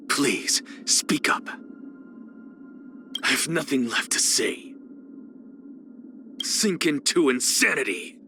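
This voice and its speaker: A man's voice: mid-range voice, bright, forceful tone, exaggerated voice. A man playing an arrogant, eccentric scholar and teacher in a video game, with a haughty, theatrical, lecturing manner. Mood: theatrical, taunting.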